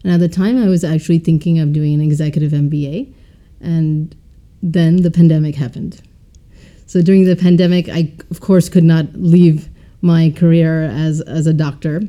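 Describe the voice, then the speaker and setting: A woman who was a doctor, now an MBA student, giving calm, composed interview answers. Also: sits low, moderate pace, muffled recording.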